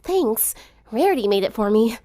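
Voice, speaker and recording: A woman's voice: a little high, very animated, moderate pace, dark tone, voice pushed up. A woman voicing an earnest, inquisitive teenage girl who speaks politely and thoughtfully in a story reading. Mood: concerned.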